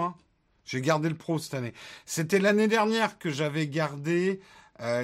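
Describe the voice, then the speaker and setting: A fairly high-voiced man hosts a relaxed tech livestream and answers the chat. He talks loosely, with plenty of fillers and jokey asides. He was born in France and raised in the United States, and English is his first language. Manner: relaxed, chatty, many fillers, joking asides. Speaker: tech channel host answering live chat questions.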